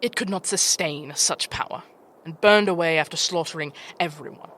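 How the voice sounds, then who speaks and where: Woman playing a bright-voiced, proud demon chieftain. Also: fairly low pitch, normal speed, a little hiss or hum, lightly acted. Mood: grave. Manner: stern, formal, dignified. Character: ancient proud female demon chieftain, fierce and vengeful. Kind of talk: dramatic fantasy audio roleplay.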